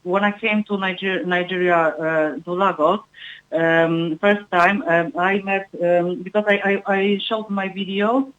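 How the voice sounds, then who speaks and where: A woman being interviewed in a live radio chat, speaking haltingly as she searches for words in fragmented English. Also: medium pitch, steady tone, no echo, muffled recording. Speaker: guest music researcher and filmmaker.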